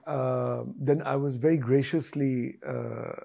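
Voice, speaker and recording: A middle-aged man, a singer and composer, talking through his career in an interview, with many pauses and fillers. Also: mid-range voice, steady tone, vocal fry, dark tone, husky, dull audio. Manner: halting, many fillers and restarts. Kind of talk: reflective interview talk.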